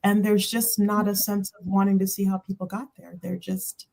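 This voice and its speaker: A woman's voice: mid-range voice, flat delivery, moderate pace, vocal fry, a little mellow. A woman chatting casually on a panel, speaking emphatically and acting out her thoughts as quoted dialogue. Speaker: panelist on a spiritual talk livestream.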